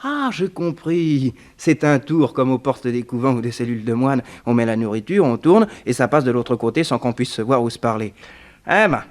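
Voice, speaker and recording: Man playing captive radio-serial detective, highly varied intonation, wry, theatrical, resourceful, 1960s French drama. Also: expressive, normal speed, natural voice. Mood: curious, amused. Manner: theatrical, ironic, muttering to himself. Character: trapped male detective, wry, resourceful, unflappable prisoner.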